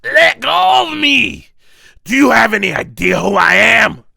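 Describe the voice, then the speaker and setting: A man's voice: very high voice, sing-song, a little slow, creaky, pushed and bright, no echo, pitched above the performer's own voice, full character voice. A man voicing a cowardly, pompous henchman in a manga voice-over, frantic and blustering. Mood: panicked outrage. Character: cowardly, corrupt henchman, pompous then pleading in panic. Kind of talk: amateur manga voice-over acting.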